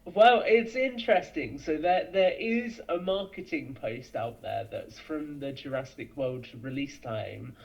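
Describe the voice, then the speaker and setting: A man's voice: fairly high pitch, unhurried, gravelly, hardly any pauses. A man speaking as a podcast guest in casual fan discussion, earnest and eager as he speculates, on a dull, lo-fi recording.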